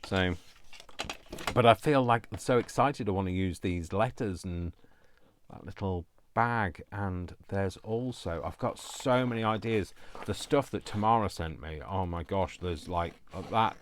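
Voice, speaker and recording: A man with a deep voice chatting casually as he hosts a craft video, playful and self-deprecating, rambling off into asides. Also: unhurried.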